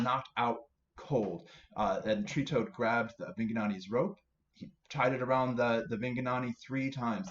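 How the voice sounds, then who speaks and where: A man tells a children's story in a roomy, slightly muffled recording. He narrates playfully, repeating phrases for emphasis, with faint noise behind him. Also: medium pitch. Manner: repetitive, playful emphasis with sound words.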